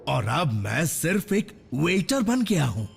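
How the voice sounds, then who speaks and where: A man's voice: on the high side, very animated, slow pace, gravelly, over loud music, exaggerated voice. A man voicing a vain, sulky robot doctor in a cartoon dub, grumbling with theatrical sarcasm. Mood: indignant. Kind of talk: dramatic Hindi cartoon dub.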